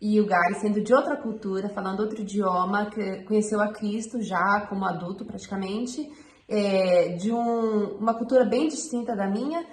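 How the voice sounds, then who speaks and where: A Brazilian woman, a missionary, tells her story in a chatty way, often pausing on small fillers. Her voice sits at an ordinary pitch for a woman. The room is echoey, with a faint hum underneath. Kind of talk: casual personal testimony. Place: home in Guatemala.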